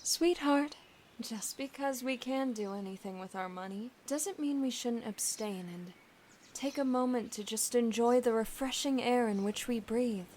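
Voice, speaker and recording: A woman plays an affectionate wife and mother in an audio drama. Her voice is fairly high, gentle and softly firm, over faint ambient noise. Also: measured pace, natural voice. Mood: gently chiding. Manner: gentle, warmly chiding.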